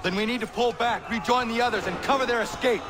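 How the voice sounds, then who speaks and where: A man acting a resolute young warrior who speaks gravely and deliberately in a video game cutscene. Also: extremely high pitch. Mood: urgent command. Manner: stern, deliberate, accusing.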